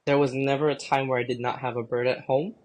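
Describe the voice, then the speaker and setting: Young man from the Philippines, podcast guest, loose rambling chat, plenty of ums, mid-pitched voice. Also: fast. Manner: relaxed, rambling with many fillers. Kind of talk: casual chat.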